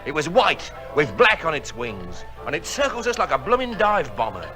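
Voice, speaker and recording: A man playing an excitable working-class English soldier telling a yarn in a radio drama, with a gravelly voice and colloquial manner. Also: fairly high pitch, normal speed, over music. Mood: excited. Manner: animated, colloquial.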